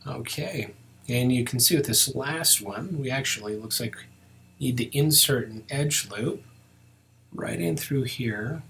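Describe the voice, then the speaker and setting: A man giving an informal step-by-step software tutorial, thinking aloud as he works. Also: medium pitch, a little slow, creaky.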